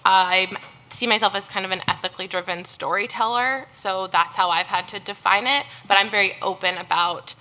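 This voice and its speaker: A young woman journalist on a panel, talking earnestly and quickly with many restarts. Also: medium pitch, steady tone, sharp tone, rough recording. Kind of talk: panel discussion.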